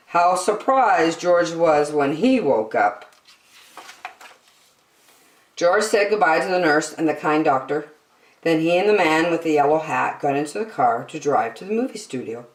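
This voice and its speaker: Expressive woman storyteller in an echoey room. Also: deep voice. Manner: dramatic, with emphatic slowed phrases. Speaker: children's story reader. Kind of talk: expressive picture-book read-aloud.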